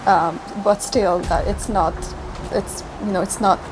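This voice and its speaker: A woman talks in an interview at a middle pitch, pausing often with fillers. The recording has clear background noise around her. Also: steady tone. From Hungary. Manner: hesitant, with frequent fillers. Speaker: sailing trainee. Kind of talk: interview testimony.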